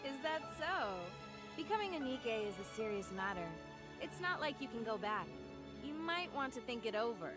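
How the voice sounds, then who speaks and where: A kind soldier heroine talks in a very high voice with faint music behind her. She is modest, reserved and steadfast, as in a video game story. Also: sing-song, normal speed. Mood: cautioning. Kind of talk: English video game voice acting.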